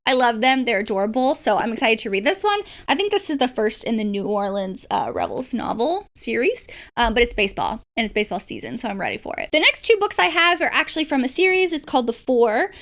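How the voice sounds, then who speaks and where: A woman's voice: fairly high pitch, lively intonation, fast, hardly any pauses, a bit bright. A woman chatting casually about books in an informal, enthusiastic way, like a book vlogger. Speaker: book vlogger and readalong host.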